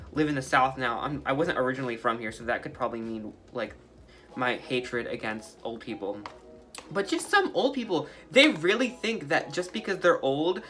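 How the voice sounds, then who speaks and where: A man's voice: a little high, bright, forceful tone. A young man with a light, feminine-sounding voice, casually sharing his opinions with many filler words as he makes slime. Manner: talkative and opinionated, heavy use of "like". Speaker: vlogger. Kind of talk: casual opinion chat while making slime.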